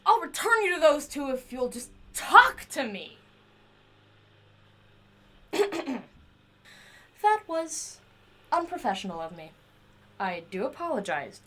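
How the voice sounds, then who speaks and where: A woman's voice: high-pitched, bright, forceful tone, full character voice. A woman playing an eccentric, obsessive inventor in an audio drama, proud and volatile, speaking in formal, clinical research notes. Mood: desperate, agitated. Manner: formal clinical diction breaking into pleading outbursts. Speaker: voice actor.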